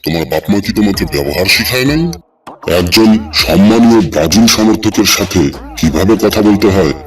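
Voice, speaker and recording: Man voicing a deep, pompous cartoon father. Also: lively intonation, a little fast, far from the mic, natural voice. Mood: scolding. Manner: pompous, scolding. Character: stern, pompous middle-aged father, Brazil football fan. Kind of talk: comic cartoon dub.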